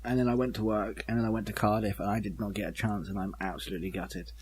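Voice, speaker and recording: A man's voice: on the low side, steady tone, quick pace, darkish tone, husky. A man co-hosting a podcast, chatting informally in a dry, joking way with the odd mild swear. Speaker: podcast co-host. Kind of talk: casual chat and informal news reading.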